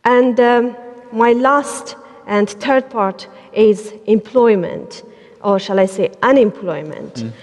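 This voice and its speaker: A woman from Afghanistan gives her views on a discussion panel, often pausing on fillers and restarting her sentences. Her voice sits at a middle pitch. It carries the long reverb of a large church hall. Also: darkish tone. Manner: hesitant, many fillers and restarts. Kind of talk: panel talk.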